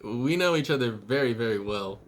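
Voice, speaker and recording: A man giving a casual, rambling video message to a friend, sounding a little tired and out of it, halting and teasingly irreverent, with some swearing. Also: mid-range voice, lively intonation. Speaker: spiritual vlogger.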